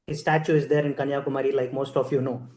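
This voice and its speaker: A man's voice: mid-range voice, little pitch movement, fast talker, a little mellow, roomy sound. A man with an Indian accent, giving a conversational storytelling speech as chief guest at a ceremony, with fillers and restarts.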